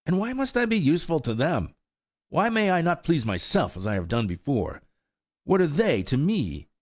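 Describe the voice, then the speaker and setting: A hot-headed young colt in a storybook tale, voiced by a man reading aloud. He talks in high, indignant outbursts that climb into shouts. The voice is recorded close and dry. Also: very animated, normal speed, dry recording. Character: impetuous young male colt, hot-tempered and defiant.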